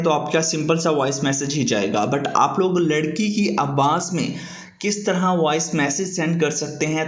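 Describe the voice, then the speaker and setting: Male tutorial host, slightly high voice, informal repetitive explaining, recorded in a very echoey room. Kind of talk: informal app tutorial narration. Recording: echoey room, dull muffled sound.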